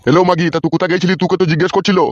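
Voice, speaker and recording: A man's voice: high-pitched, level tone, rapid-fire, highs cut off, very noisy, lightly acted. A man voicing a suspicious, hot-tempered old village husband in a comedy cartoon, ranting coarsely. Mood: accusing.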